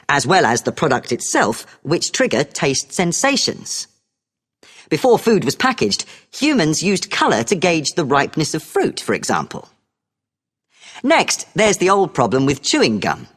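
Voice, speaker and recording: British female lecturer, lower register, animated intonation, explanatory scripted delivery, reverberant hall sound. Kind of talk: scripted academic lecture for a listening test.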